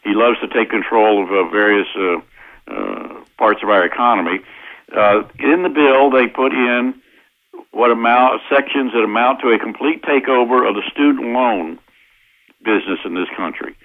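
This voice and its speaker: An American man explaining his points methodically as an interview guest, with hesitant pauses, over a tinny phone line. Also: mid-range voice. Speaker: interview guest, constitutional lawyer. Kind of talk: explanatory radio interview answers.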